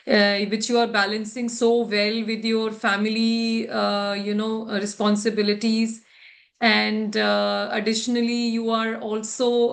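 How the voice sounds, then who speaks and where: A woman hosting a vlog interview, speaking conversationally in halting, hesitant phrases. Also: mid-range voice.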